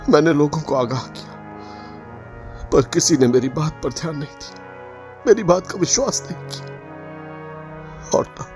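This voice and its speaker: Casting: a weak, tormented scientist in audio drama, slightly high-voiced, slow and halting, faint music underneath. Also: male voice, a little mellow. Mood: guilt-stricken. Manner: anguished, halting, repeats phrases dramatically. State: exhausted, weak. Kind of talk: Hindi audio drama monologue.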